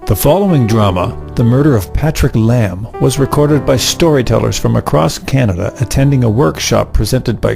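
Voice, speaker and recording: A man with a creaky, medium-pitched voice makes a formal announcement. He reads from a script as an announcer, with faint music underneath. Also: a little mellow. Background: faint instrumental music.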